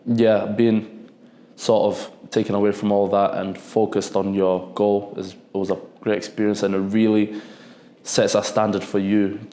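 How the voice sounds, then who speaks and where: A young man in his twenties, talking casually in a podcast interview, relaxed and rambling, often repeating himself. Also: lowish voice, measured pace. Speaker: football defender, guest. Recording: echoey room.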